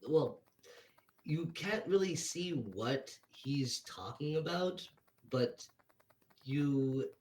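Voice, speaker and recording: A man's voice: on the high side, extremely slow, a little mellow, poor audio. A man narrating a tabletop game as game master, describing scenes hesitantly while he searches for words.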